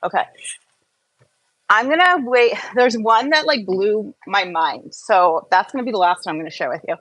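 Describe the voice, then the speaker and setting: A woman with a medium-pitched voice talks like a skincare and wellness vlogger. Her delivery is casual and chatty. She rambles, interrupts herself and drifts off on small tangents as she goes. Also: lively intonation, normal speed, fairly bright tone, dry recording. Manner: rambling, self-interrupting, easily distracted. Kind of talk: casual chatty live Q&A and product review.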